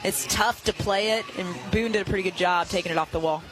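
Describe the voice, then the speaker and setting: An American woman working as color analyst, explaining softball play in an informal, conversational way. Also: medium pitch, quick pace, over music.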